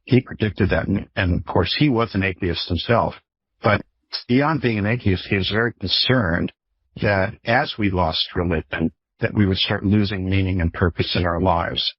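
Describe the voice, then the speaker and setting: A man in his fifties speaks as an expert guest, in a lowish, somewhat rough voice. He explains conversationally, working through examples and rhetorical questions. The sound is dry and a little dull, like lo-fi call audio. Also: normal speed, no room sound. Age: 50s. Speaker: professor, author and guest expert. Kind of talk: explanatory interview talk with illustrative anecdotes.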